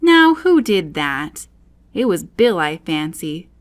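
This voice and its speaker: A woman's voice: fairly low pitch, sing-song, slow pace. A woman voicing bustling little animal workers in a storybook, calling out short, urgent instructions. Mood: bustling, bossy.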